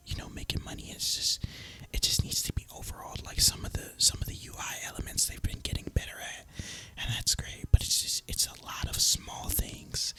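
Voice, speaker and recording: A person speaking in a breathy, unvoiced ASMR whisper, musing casually and informally as gaming commentary. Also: fairly bright tone, rough recording. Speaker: host of an ASMR gaming channel.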